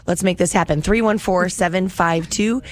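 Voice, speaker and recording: A woman's voice: on the low side, moderate pace. A woman hosting a radio pledge drive, chatty and upbeat, listing gifts and repeating the phone number. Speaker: radio fundraiser host.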